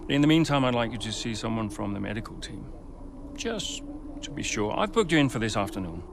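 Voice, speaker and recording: Racing game team boss: firm, blunt, natural, widely varied intonation, clear background noise. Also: male voice, medium pitch, big pitch swings, a little fast. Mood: conciliatory. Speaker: team principal. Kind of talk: naturalistic game cutscene acting.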